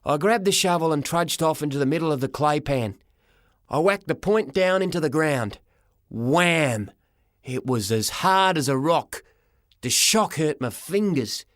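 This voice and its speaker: A man narrating a first-person story for an audiobook, dramatic and expressive, in short measured phrases. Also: on the high side, no room sound, clean studio sound.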